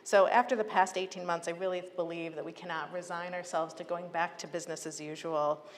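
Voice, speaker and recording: A woman's voice: on the low side, fairly even intonation, fairly quick. An American woman giving an earnest, persuasive prepared speech as a public agency leader, with emphatic delivery. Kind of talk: prepared advocacy speech to a conference. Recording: echoey hall, clear background noise.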